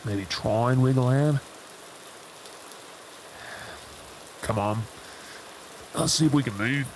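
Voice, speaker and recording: A man's voice: mid-range voice, normal speed, noisy background, lightly acted. A man with an Australian accent playing an injured, protective crash survivor in a dramatic audio roleplay, urgent, breathless and reassuring. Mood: worried.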